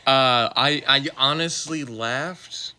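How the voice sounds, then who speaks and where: A man chatting casually and haltingly as a first-time guest voice actor, often stammering over his words. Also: medium pitch, pushed and bright. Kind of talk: casual chat.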